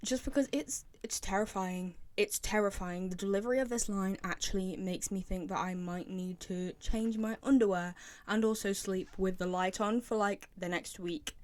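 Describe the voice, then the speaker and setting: A woman's voice: mid-range voice, fairly even intonation, moderate pace. A young woman giving casual, opinionated fan commentary as a video creator, talking in a chatty, informal way. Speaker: video creator reviewing game voice lines.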